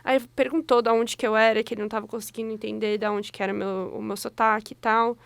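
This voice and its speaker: A young woman from Brazil chats on a radio show with a slightly high, gravelly voice. She tells her stories in an animated way and laughs often. The studio sound is dry and close. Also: fast talker, no room sound. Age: young adult. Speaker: radio co-host. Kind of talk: casual chat.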